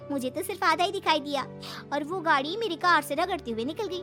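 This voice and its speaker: A woman's voice: high voice, lively intonation, normal speed, over music, voice pushed up. A woman voicing a haughty, spoiled young bride in a moral story, scolding and flippant. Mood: flippant excuse.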